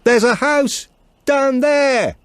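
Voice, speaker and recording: A man voicing an exasperated policewoman who calls out loudly and with strain through a storm in an audiobook. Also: very high voice, slow pace, clean tone, no echo, voice pushed up, heavily acted. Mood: urgent. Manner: loud, strained calling out.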